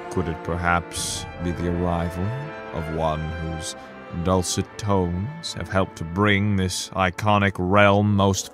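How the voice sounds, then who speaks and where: A man reading a scripted introduction as a podcast announcer, in florid, theatrical storyteller narration. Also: low-pitched.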